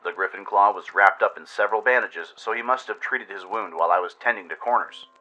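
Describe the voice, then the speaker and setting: A man's voice: lowish voice, a little fast, bright, forceful tone, muffled recording, soft background music. A man narrating a scripted dramatic first-person story in radio-play style, with an intense, theatrical delivery.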